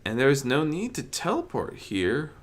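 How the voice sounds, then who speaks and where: A narrator reading a fan fiction story aloud in an expressive storytelling style. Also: mid-range voice, normal speed. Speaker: audiobook narrator.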